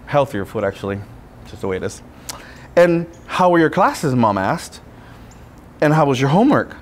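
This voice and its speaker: A man, a Spanish speaker, preaching a sermon as a friar with personal storytelling, in deliberate phrases broken by pauses. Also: mid-range voice, sing-song. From Puerto Rico. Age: 30s.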